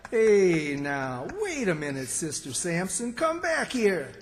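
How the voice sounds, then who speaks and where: High, drawling country fellow. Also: male voice, sing-song, takes it slowly, full character voice. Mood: flustered. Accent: rural Southern American. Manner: folksy, fretful, exclaiming. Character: flustered, folksy older country man, gullible. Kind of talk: old-time radio comedy acting. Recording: stage performance in reverberant hall.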